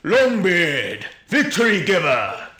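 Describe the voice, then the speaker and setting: A male storyteller puts on a commanding pagan earl, master of a mead hall. He declaims in a slow, solemn ritual manner, with a fairly high, forceful voice ringing through a roomy echo. It suits a dramatic folk story. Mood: solemn invocation.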